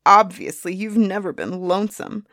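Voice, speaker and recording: A woman voicing a lonely, self-pitying five-headed male griffin in a storybook tale, slow and resentful. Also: medium pitch, pushed and bright, no room sound, studio quality, natural voice.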